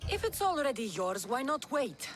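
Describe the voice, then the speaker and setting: An actress playing a commanding, dry-witted mercenary heroine in a video game. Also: female voice, high voice, very animated, normal speed, faint music behind. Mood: stern. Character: commanding female mercenary hero.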